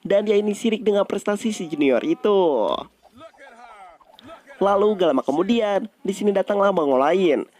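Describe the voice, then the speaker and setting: A man's voice: high voice, darkish tone. A man narrates a film recap in a casual, scripted style, addressing viewers directly in colloquial speech. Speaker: movie recap host.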